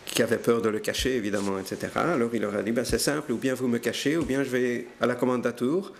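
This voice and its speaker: An older man recounting his family's history in a hesitant, halting way, with many fillers and restarts. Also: medium pitch, steady tone, gravelly, slight background noise. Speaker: interviewee telling family history. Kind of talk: hesitant spoken recollection.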